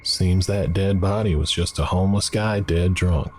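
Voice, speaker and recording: A man plays a friendly diner waitress with a soft Southern drawl. She is chatty and folksy, tossing out hon and sweetie, in a voice pitched clearly higher than the reader's own. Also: fairly even intonation, moderate pace, slight background noise, close to an everyday voice. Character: friendly middle-aged female diner waitress.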